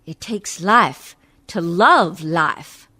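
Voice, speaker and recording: A woman plays a tough, proud old country wife looking back from the grave. Her voice is fairly low, her phrasing plain and emphatic. It is a verse monologue performed for radio drama. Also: animated, extremely slow, bright, forceful tone, breathy edge, heavily acted. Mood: scornful. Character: proud, hardy ninety-six-year-old pioneer wife, now dead.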